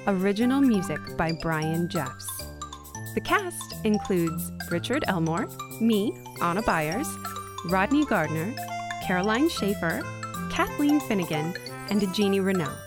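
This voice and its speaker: A woman's voice: medium pitch, sing-song, measured pace. A woman reading scripted production credits for a children's audio show. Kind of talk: scripted narration. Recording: loud music and jingle behind.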